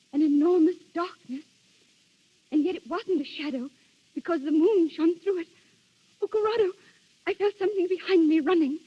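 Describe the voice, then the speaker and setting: High, dreamy young noblewoman. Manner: earnest, yearning, theatrical. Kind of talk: 1930s radio acting. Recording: dull 1930s radio recording.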